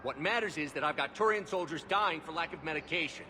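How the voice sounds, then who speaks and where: A man's voice: a little high, fast, a crowd in the background, lightly acted. A man playing a blunt, exasperated alien military officer in a video game. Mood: frustrated, angry. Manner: blunt, insistent. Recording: noisy background ambience.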